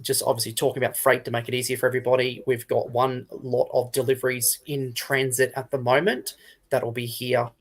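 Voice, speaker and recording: A man's voice: medium pitch, little pitch movement, brisk. A man with an Australian accent talking informally as a retailer guest in a casual interview, chatty and repeating his phrasing.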